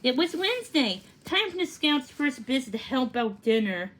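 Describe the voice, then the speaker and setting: A woman reading a children's storybook aloud, haltingly, stumbling over words and correcting herself. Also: high-pitched, very animated, a bit bright, a little hiss or hum. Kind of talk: read-aloud storybook narration.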